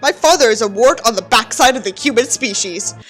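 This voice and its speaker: A woman's voice: on the high side, expressive, fairly quick, fairly bright tone, soft background music. A woman voicing a bitter, self-pitying young narrator in a sneering, melodramatic dramatic reading of a novel. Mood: resentful, scornful.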